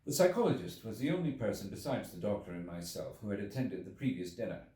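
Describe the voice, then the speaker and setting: Male audiobook narrator: theatrical, measured literary reading, very varied intonation, recorded in an echoey room. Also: on the low side, lively intonation, mellow. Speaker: audiobook reader. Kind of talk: expressive scripted literary narration. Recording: echoey room, distant from the mic.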